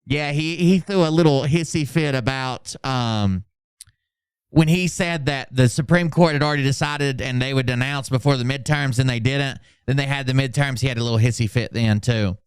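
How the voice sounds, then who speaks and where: A man's voice: mid-range voice, moderate pace, clear, resonant tone, dry recording. Sarcastic man with a dry, clean sound. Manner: sarcastic, profane. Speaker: host of a commentary channel. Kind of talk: casual mocking commentary.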